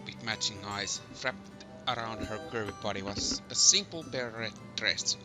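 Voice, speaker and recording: A man voicing a male champion hero who narrates his quest in a visual novel with measured storytelling. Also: lowish voice, close to an everyday voice. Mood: narrating. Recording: music behind the voice.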